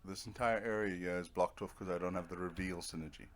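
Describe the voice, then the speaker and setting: Low-voiced man doing casual game commentary. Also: steady tone, fast talker. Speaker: gaming video host.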